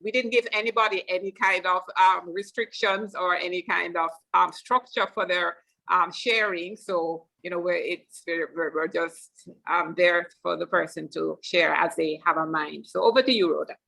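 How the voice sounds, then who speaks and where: A man's voice: high voice, fairly even intonation, normal speed, gravelly, pushed and bright, low-quality recording. A man moderating an informal roundtable, hesitantly searching for words as he hands over to the next speaker.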